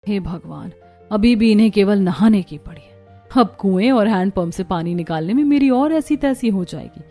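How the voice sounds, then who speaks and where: A woman's voice: mid-range voice, animated, normal speed, mellow, faint music behind. A woman voicing an overworked, complaining young daughter-in-law in a cartoon story. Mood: bitter. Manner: complaining, sarcastic. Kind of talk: Hindi cartoon story acting.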